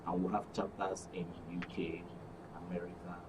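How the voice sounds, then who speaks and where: A man's voice: deep voice, level tone, moderate pace, far from the mic, heavy background noise. A man with a Nigerian accent speaking as a guest in a studio interview, promoting an organisation. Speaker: actors' guild guest.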